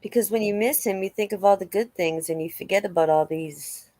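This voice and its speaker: A woman's voice: medium pitch, fairly quick, dark tone, no echo, lightly acted. A woman playing a sympathetic, supportive investigator in a dramatic reading of a police interview, soothing and conversational.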